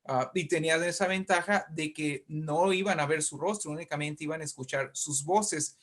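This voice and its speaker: A man's voice: on the high side, moderate pace, a bit bright. A man with a Latin American Spanish accent explaining things conversationally, often repeating words as he searches for the next. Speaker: writer discussing radio drama history.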